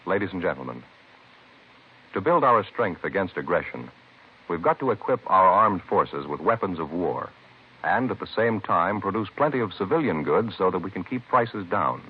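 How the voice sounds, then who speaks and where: Deep, husky male radio announcer. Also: big pitch swings, normal speed. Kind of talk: formal 1950s radio announcing. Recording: thin, phone-like old radio recording.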